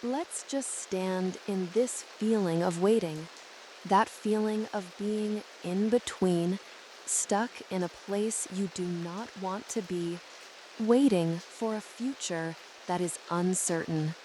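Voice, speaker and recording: Woman doing slow sleepy guided meditation, soothing n measured, bit of rainy hiss behind her. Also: medium pitch, mellow, low-quality recording. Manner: soothing, measured, deliberate phrasing. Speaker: narrator of sleep visualizations.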